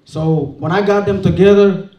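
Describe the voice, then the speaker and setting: A man's voice: high-pitched, fairly even intonation, normal speed, dull audio. A man giving a casual talk at a showcase event, speaking over his slides, chatty and laughing often. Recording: echoey room from far off.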